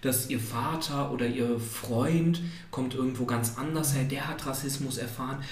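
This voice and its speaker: A man's voice: medium pitch, steady tone, normal speed. Rambling man in his thirties, echoey room. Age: 30s. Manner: rambling, filler-heavy storytelling. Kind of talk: casual chat. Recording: echoey room with faint ambience.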